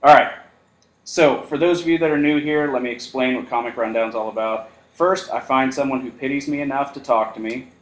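A man's voice: mid-range voice, brisk. A man hosting a podcast in casual chat, joking and self-deprecating. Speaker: podcast host. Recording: roomy echo.

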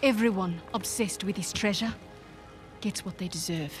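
Faint music sits behind a woman acting as a cold, pragmatic mercenary. She has a mid-pitched voice and a curt, contemptuous way of speaking. It is dramatic adventure game cutscene acting. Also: normal speed, close to an everyday voice. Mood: scornful.

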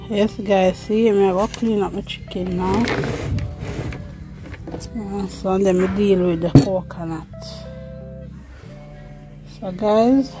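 Slow-talking Jamaican woman cooking outdoors. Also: medium pitch, rough recording. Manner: chatty patois, addresses viewers as guys. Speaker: cooking vlogger. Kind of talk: casual cooking vlog, talking to viewers. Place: outdoor yard kitchen. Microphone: phone held in one hand while filming.

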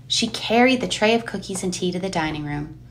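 A woman's voice: on the low side, expressive, a little fast, low-quality recording. A woman reading dramatic scripted narration for an eerie audio play. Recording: music under the voice.

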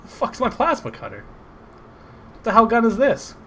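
A man commenting on a game he is playing, loud and excitable, cursing freely and mocking the story. His voice is bright and jumps all over in pitch. A faint hiss sits under the recording. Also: normal speed. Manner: loud, excitable, swearing, sarcastic. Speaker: gameplay commentator. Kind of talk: casual let's play commentary.

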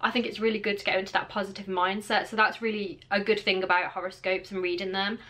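A woman's voice: mid-range voice, level tone, fast, a bit bright. A woman in her late twenties from Birmingham, England, with a local accent, chatting to camera in a casual Q and A from her bedroom, rambling with lots of fillers. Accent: Birmingham English. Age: 20s. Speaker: vlogger. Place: bedroom with clothes rail, indoors.

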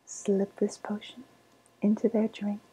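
A woman playing a sly, cooing woodland witch with a faint menace, in a breathy, softly spoken ASMR roleplay whisper. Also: medium pitch, level tone, low-quality recording. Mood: savouring, confiding. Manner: slow, savouring, coaxing delivery.

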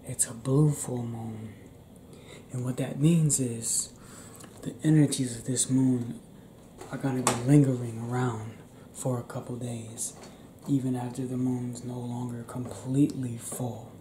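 A young man performing an intimate ASMR roleplay as a restless, tender werewolf, speaking in a hushed, halting, often whispered way. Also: mid-range voice, little pitch movement. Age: young adult. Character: young male werewolf confiding in his partner, restless, tender. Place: small indoor room. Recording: roomy echo, clear background noise.

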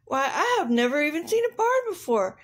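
Woman as an earnest, high-voiced storybook rhinoceros. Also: very animated, brisk. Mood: amazed. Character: big, earnest, naive rhinoceros from far away.

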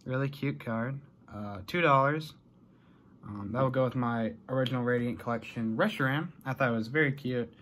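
A man's voice: medium pitch, normal speed, fairly bright tone. Young American man chatting, noisy recording. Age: young adult. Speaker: vlogger showing a collection haul. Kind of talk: casual chat to camera. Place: small bedroom, indoors.

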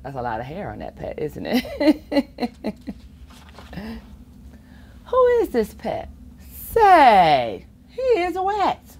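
Slow, very animated woman reading a storybook. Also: on the high side. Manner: expressive sing-song delivery with playful asides. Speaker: volunteer children's storybook reader. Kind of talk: reading a children's picture book aloud. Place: school or public library children's corner, indoors. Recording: faint room ambience noise.

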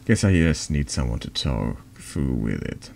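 A man reading a horror text game aloud in a soft ASMR narration style, halting and stumbling over longer words. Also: very deep voice, lively intonation, gravelly, a little hiss or hum.

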